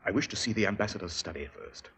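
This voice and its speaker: A brisk, commanding detective. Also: male voice, deep voice, heavily acted. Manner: crisp, authoritative, lively delivery. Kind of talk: 1940s radio acting. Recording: old radio broadcast with faint hiss.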